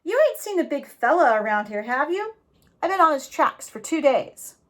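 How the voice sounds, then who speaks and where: Brief: jovial backwoods outlaw man, put on by a woman reader, drawling, lively pitch. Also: moderate pace. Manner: drawling backwoods grammar, chuckling. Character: big folksy reformed outlaw man, jovial.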